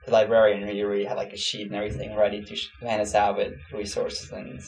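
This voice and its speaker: A young man from Switzerland, a student answering interview questions in a relaxed, casual way with plenty of fillers. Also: on the low side, fast talker, rough recording. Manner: relaxed, many fillers, laughs easily.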